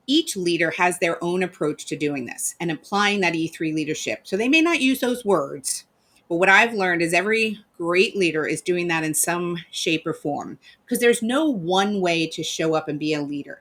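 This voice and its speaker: A woman's voice: lowish voice, lively intonation, moderate pace, fairly bright tone. A woman hosting a live online leadership interview series, giving an upbeat rehearsed introduction. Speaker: host.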